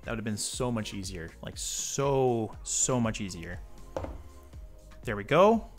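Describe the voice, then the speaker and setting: A man's voice: fairly low pitch, lively intonation, measured pace, faint music behind. A man with a stuffy nose from a cold, hosting a model-building tutorial and chatting casually with rambling asides as he works at a table. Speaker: model-building channel host. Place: indoor tabletop workbench.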